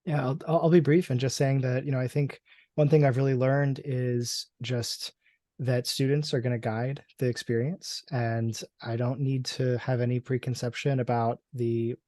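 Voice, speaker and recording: A man's voice: medium pitch, flat delivery, moderate pace, warm tone, dry recording, studio quality. A man professor on a panel, speaking in a reflective way with a few fillers. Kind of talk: casual panel discussion.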